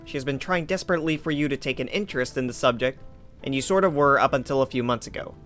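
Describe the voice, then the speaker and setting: A man's voice: mid-range voice, quick pace, fairly bright tone. A man reading scripted second-person story narration as the narrator of a fan drama. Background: music.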